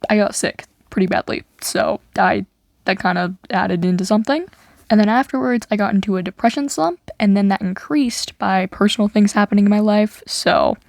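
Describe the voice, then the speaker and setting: A woman's voice: mid-range voice, a little mellow, no room sound, clean studio sound. A woman giving an unscripted, rambling voiceover for her channel's game speed build, full of "like" fillers and restarts. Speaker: YouTuber.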